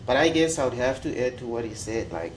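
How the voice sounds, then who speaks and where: A man's voice: medium pitch, very animated, fast talker, a little hiss or hum. A young man on a student panel, speaking thoughtfully with some hesitation. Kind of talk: casual panel discussion. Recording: echoey room.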